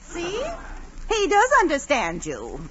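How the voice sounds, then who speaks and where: An actress playing a soothing, mildly chiding middle-aged wife in a 1940s radio comedy. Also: female voice, sits high, huge pitch swings, slow, full character voice. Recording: dull, slightly noisy old radio recording.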